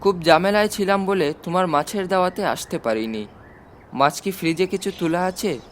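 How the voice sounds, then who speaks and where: A man's voice: high voice, normal speed, a little hiss or hum, natural voice. A man voicing an affectionate, confident army chief uncle in a storybook reading, with a fatherly, explanatory manner. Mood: fatherly, concerned.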